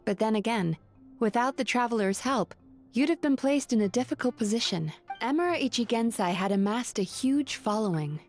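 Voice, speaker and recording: An actress voicing a composed, earnest young businesswoman in a video game, speaking in a calm, measured, sincere way. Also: a little high, soft background music.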